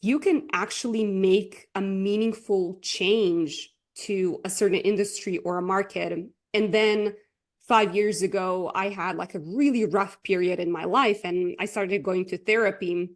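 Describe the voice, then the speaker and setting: A woman's voice: mid-range voice, steady tone, unhurried. A woman from Croatia, speaking English, chatting as a guest coach on a podcast with lots of fillers and frequent laughter. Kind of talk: casual interview chat.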